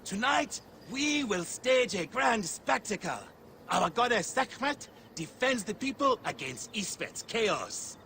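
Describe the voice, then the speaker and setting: A theatrical, flustered festival organizer for a game set in ancient Egypt. The voice is high and forceful, grandiose in delivery, with slight ambience behind. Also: male voice, sing-song, slow, a little hiss or hum, exaggerated voice. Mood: proclaiming. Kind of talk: dramatic video game acting.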